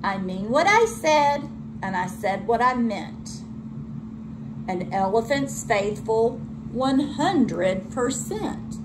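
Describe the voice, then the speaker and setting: Woman playing a slow, steadfast storybook elephant. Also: fairly high pitch, very animated, background noise. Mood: resolute. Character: steadfast, earnest, gentle male elephant. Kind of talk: children's storybook read-aloud.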